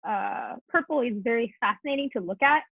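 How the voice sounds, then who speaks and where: A woman's voice: fairly high pitch, fairly quick, gravelly, husky, no echo. A woman in her twenties from South Korea with a Korean accent, chatting casually as a podcast guest. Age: 20s. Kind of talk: casual chat. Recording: tinny, phone-like line, dry.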